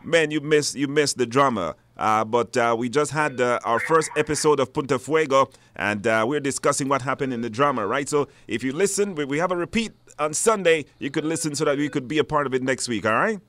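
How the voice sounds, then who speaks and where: A man's voice: medium pitch, brisk, few pauses, fairly bright tone. A man with a Belizean accent hosting a live radio call-in show, putting questions to callers. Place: radio studio.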